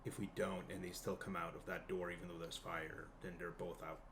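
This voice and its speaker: A man's voice: low-pitched, little pitch movement, fairly quick. A man talking casually as a player in a tabletop roleplaying game group. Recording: faint background noise.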